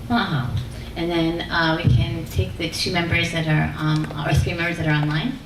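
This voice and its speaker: A woman's voice: lowish voice, little pitch movement, normal speed. A woman chairing a public community meeting, speaking hesitantly with many fillers. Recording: echoey room, distant mic, faint noise.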